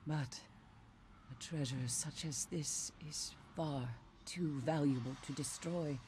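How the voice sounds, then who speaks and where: An actress playing a grave, haunted warrior-scholar in a video game cutscene, deliberate, foreboding and resolute. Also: female voice, extremely low pitch, mellow, slight background noise. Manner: grave, deliberate, dropping toward whispers.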